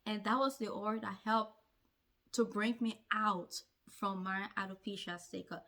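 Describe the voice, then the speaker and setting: A woman's voice: mid-range voice, steady tone, unhurried, bright, forceful tone. A woman vlogger telling a personal story to camera in an earnest, emphatic way, repeating phrases to drive points home. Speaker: hair-care vlogger.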